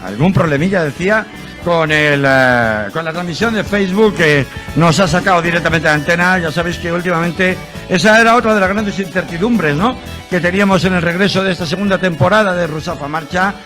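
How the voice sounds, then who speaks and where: High-voiced male radio host, halting. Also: sharp tone. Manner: rambling, many hesitations and fillers. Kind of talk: radio magazine presenting. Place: radio studio. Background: music.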